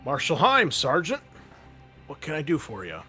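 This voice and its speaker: A man's voice: a little high, huge pitch swings, moderate pace, bright, forceful tone, soft background music, pitched above the performer's own voice, exaggerated voice. A man voicing a courteous, businesslike older marshal, tall and well-built, in a tabletop game.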